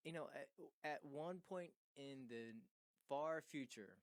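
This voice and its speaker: A man's voice: mid-range voice, slow, no echo. A man guesting on a casual movie podcast in a home studio, easygoing and joking, with frequent laughs. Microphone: studio microphone.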